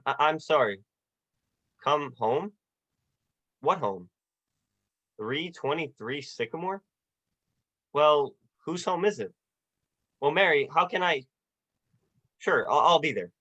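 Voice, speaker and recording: Young man as a flustered, earnest husband, highish voice. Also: lively intonation, slow pace, pauses often, pushed and bright, dull audio, exaggerated voice. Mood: agitated, apologetic. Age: young adult. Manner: stammering, folksy, earnest. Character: earnest, flustered, hot-headed young small-town husband. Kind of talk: 1940s radio drama acting.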